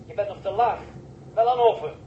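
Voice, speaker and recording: An actor playing an excitable ground control operator heard over a radio link in a 1960s science fiction drama. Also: male voice, sits high, a little fast, lo-fi sound. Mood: agitated. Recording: echoey, band-limited, faintly noisy radio recording.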